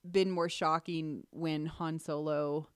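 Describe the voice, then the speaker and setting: A woman's voice: low voice, fairly even intonation, slow, smooth, no room sound, clean studio sound. A woman hosting a podcast, talking through ideas analytically in an earnest, emphatic way. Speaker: podcast host.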